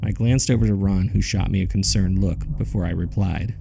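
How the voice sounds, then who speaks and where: A man narrating a horror audio drama as a grave witness, in a deliberate, somber storytelling manner. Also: low voice, fairly even intonation, gravelly, mellow, a little hiss or hum. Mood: grave, uneasy.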